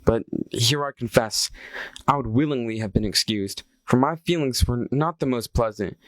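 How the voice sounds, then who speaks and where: A man reads a historical memoir from a script. His voice is medium in pitch and recorded dry, with no room echo. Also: moderate pace. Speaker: reader of a historical account. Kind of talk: scripted reading of a first-hand account.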